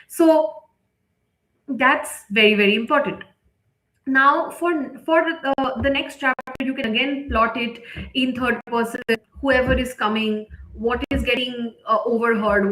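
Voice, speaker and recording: A woman's voice: a little high, fairly bright tone. A woman instructor giving a live, unscripted online lesson, explaining step by step with repeated emphasis and frequent check-in tags. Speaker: writing workshop instructor. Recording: echoey, roomy sound.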